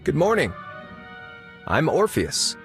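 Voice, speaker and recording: A man's voice: fairly high pitch, wildly swooping pitch, takes it slowly, a bit bright, heavily acted. A man playing a dapper, courteous male novelist in video game dialogue, with a mannered politeness. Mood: suave, courteous. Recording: music with violin behind the voice.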